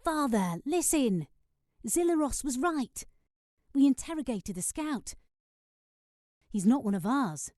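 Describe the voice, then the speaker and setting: An earnest young warrior princess. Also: female voice, sits high, big pitch swings, slow, long pauses, darkish tone, dry recording, studio quality, heavily acted. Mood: urgent, pleading. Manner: respectful, urgent, pleading. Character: dutiful, earnest young warrior daughter of a king. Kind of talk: dramatic video game cutscene acting.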